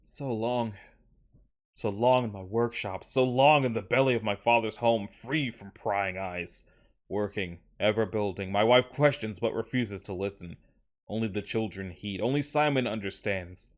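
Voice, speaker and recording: A man's voice: on the low side, normal speed, natural voice. A man voicing a tormented, obsessed war veteran and inventor in a solemn, dramatic first-person reading of a horror story. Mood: obsessive.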